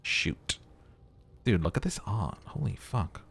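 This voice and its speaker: Deep gravelly man doing game commentary. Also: sing-song, takes it slowly. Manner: thinks aloud, muttering and humming to himself. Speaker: video game playthrough host. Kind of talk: casual game commentary mixed with dramatic reading of game text.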